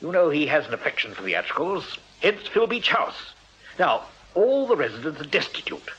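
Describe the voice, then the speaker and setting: An actor playing a breezy Victorian army major with an upper-class English accent, talking in clipped, blustery sentences for radio drama. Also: male voice, on the high side, sing-song, normal speed, fairly bright tone. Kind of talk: British radio drama acting. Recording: dull radio drama sound with noise.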